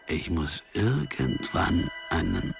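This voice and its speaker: An actor playing a hard-drinking, uneasy traveller with a wry, brooding manner in a German radio play. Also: male voice, rumbling low, wildly swooping pitch, takes it slowly, gravelly, music in the background, heavily acted.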